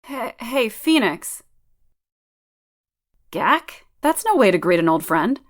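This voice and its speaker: Calm, encouraging female mentor played by an actress. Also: a little high, slow, full character voice. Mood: reassuring. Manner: reassuring, gently firm. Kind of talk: dramatised video game voice acting.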